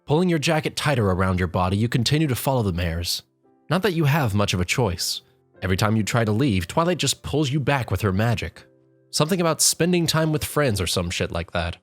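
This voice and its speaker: A storyteller reads scripted comic narration in a medium-pitched voice. The delivery is wry and exasperated. Soft music runs underneath. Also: very animated, brisk, no echo. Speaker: fan fiction narrator. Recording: music behind the voice.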